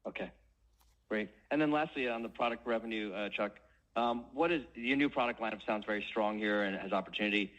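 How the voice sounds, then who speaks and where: A man's voice: on the low side, fairly quick, fairly bright tone, rough recording. A man speaking as a financial analyst, putting questions to executives on an earnings call.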